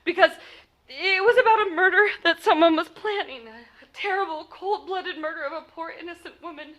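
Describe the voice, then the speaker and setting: A woman's voice: very high voice, a little fast, a bit bright. An actress playing a frantic, imperious married woman in a 1940s style radio play, stammering and indignant. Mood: anxious, urgent. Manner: stammering, breathless, insistent, indignant.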